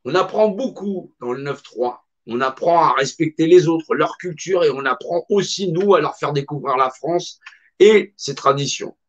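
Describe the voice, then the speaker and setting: A man's voice: on the high side, lively intonation, moderate pace. A middle-aged man giving an impassioned, emphatic monologue in a colloquial, repetitive way. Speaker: speaker. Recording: dull lo-fi recording.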